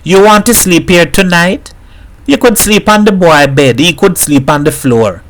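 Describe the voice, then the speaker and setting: A woman's voice: on the low side, measured pace, voice pushed up, close to an everyday voice, rough recording. A man voicing a blunt, scolding mother in a story reading. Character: blunt practical mother, middle-aged.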